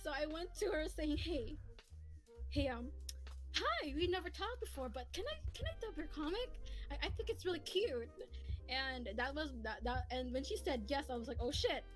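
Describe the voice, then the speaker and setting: A woman hosting a casual livestream chat, talking in an animated, giggly, rambling way as a hobby voice actor. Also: sits high, moderate pace. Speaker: streamer and host who voices comic dubs. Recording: lofi music playing behind. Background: soft lofi background music.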